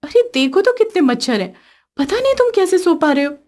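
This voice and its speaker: A woman voicing a young, sharp-tongued, complaining daughter-in-law in a cartoon story, whiny and sassy. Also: very high voice, sing-song, brisk, pitched above the performer's own voice.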